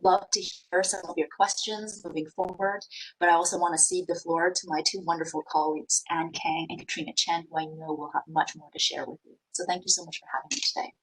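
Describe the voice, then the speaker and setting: A woman's voice: lowish voice, fairly even intonation, brisk, fairly bright tone, breathy edge, low-quality recording. A Canadian-born woman politician giving an earnest campaign speech at an online panel, steadily listing achievements.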